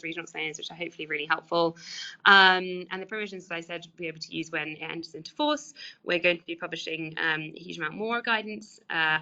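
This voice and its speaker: A woman's voice: on the low side, pushed and bright. A woman presenting on a webinar in an informal, explanatory way, with a hesitant, conversational manner. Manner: hesitant, conversational, hedging with kind of. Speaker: trade official presenting. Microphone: online webinar call. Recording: dull, lo-fi webinar audio.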